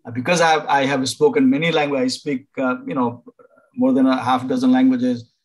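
An older man from Nepal, a professor advising international students over a video call, pausing often to find words. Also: medium pitch, level tone, poor audio. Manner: halting, many fillers and restarts. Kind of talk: informal online presentation.

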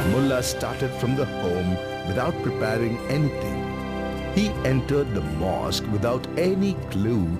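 Slow, animated male storyteller. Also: fairly low pitch. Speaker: narrator. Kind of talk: scripted children's story narration. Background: music.

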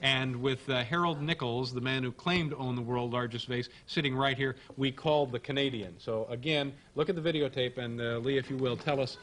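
A man's voice: mid-range voice, fairly even intonation, fairly quick, hardly any pauses, fairly bright tone, low-quality recording. A man in his thirties hosting a late-night comedy show, joking in a dry, mock-serious way at his desk in a TV studio. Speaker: talk show host. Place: TV studio stage before an audience. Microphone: desk microphone.

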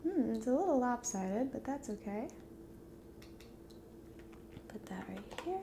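A young woman speaks in a gentle, hushed ASMR style, slipping between soft talk and whisper as if to one companion. Her voice is fairly high and mellow, with a lot of creak. There is faint noise behind her. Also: sing-song, slow pace. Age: 20s. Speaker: ASMR roleplay host. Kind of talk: soft-spoken ASMR roleplay, talking to the listener. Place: dim indoor room at a table.

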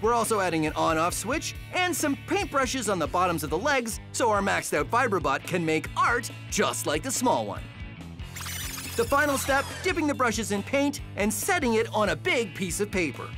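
A man's voice: high-pitched, big pitch swings, normal speed, music in the background. A middle-aged man hosting a children's science show with upbeat scripted narration.

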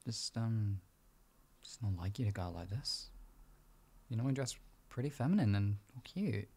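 A man playing a gentle, doting boyfriend in an improvised ASMR roleplay, coaxing softly and reassuringly in an intimate, husky, gravelly voice. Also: on the low side, animated, normal speed. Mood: gentle concern. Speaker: voice actor in audio roleplay.

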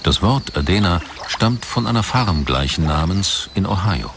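A man narrating a scripted documentary in a measured, articulate manner. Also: low-pitched, animated, gravelly, background noise.

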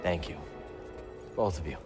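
An actor playing a weary, earnest young Jedi rebel in a video game, speaking seriously. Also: male voice, sits low, measured pace, music in the background. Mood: serious, businesslike. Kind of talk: cinematic video game acting. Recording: long hall reverb, game score behind.

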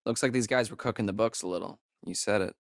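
An actor playing a wisecracking, jittery young American camp counselor in a horror video game, with slangy, hesitant, sarcastic delivery. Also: male voice, on the low side, quick pace, background noise, close to an everyday voice. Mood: wry. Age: young adult. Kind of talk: naturalistic horror game acting.

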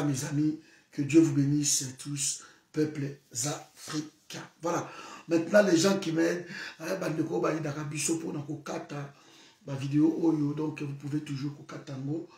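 Impassioned Congolese man in an echoey room. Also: fairly high pitch. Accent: Congolese French. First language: Lingala. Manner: switches between French and Lingala, grows heated and shouting. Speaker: political vlogger. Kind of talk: impassioned political monologue to camera. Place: indoor room, seated on a sofa. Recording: echoey room, voice a bit far from the mic.

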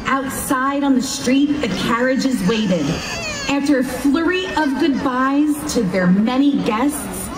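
Slow-reading woman in an echoey square. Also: high voice, distant mic, background noise. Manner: unhurried, expressive reading. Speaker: storyteller. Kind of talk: reading a storybook aloud. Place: outdoor town square at night. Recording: echoey open air from afar with crowd.

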